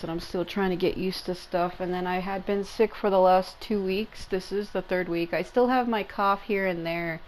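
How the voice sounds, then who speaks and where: A woman's voice: lowish voice, a little hiss or hum, poor audio. A woman giving casual gameplay commentary, explaining in a rambling way and often rephrasing herself. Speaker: gaming content creator. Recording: game music behind the voice. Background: video game music.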